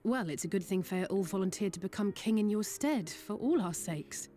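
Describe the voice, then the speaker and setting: An actress plays a composed woman adventurer from a fantasy game. Her voice is mellow and mid-pitched, with a dry, measured delivery. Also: lively intonation, lightly acted. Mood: wry. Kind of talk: scripted fantasy game acting.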